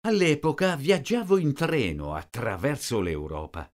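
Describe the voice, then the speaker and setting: A man slowly reading out short sentences, each one twice, for a language lesson. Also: mid-range voice, expressive. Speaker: language teacher. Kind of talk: slow repeated phrase reading.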